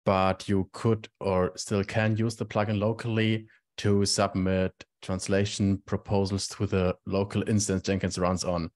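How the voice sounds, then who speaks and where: A man's voice: low-pitched, little pitch movement, measured pace, few pauses, a little mellow. A man on an online project meeting, explaining technical details in a plain, matter-of-fact way. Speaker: meeting participant.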